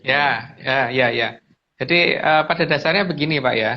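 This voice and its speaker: Creaky-voiced man explaining. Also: on the high side. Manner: halting, repeats words and phrases. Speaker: guest speaker on mystical lore. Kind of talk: casual explanatory talk. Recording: dull lo-fi audio.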